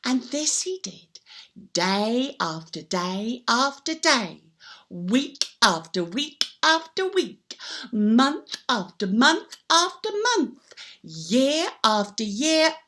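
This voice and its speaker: A woman tells a story to young children in a high voice that rises and falls dramatically. She takes her time, stretching words for emphasis and repeating sound words. Her delivery is theatrical and invites participation. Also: sing-song, slow pace, pushed and bright. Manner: invites listeners to join in.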